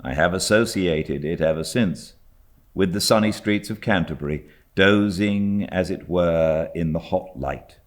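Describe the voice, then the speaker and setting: A British man reading a classic novel as an audiobook narrator, in a measured, expressive storytelling manner. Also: low voice. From Britain. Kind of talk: scripted literary narration.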